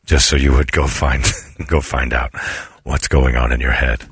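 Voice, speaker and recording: A man putting on a slow, booming god voice. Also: steady tone, a bit bright, husky, dry recording, clean studio sound, heavily acted. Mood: pompous benevolence. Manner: slow, solemn, low preaching delivery. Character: smug booming deity, male, ancient and self-satisfied.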